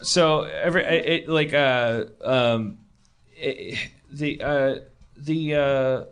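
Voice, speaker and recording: A man's voice: medium pitch, slow pace, fairly bright tone, clean tone. An American man hosting a comedy show, chatting with guests in a rambling, stammering, stop-start way.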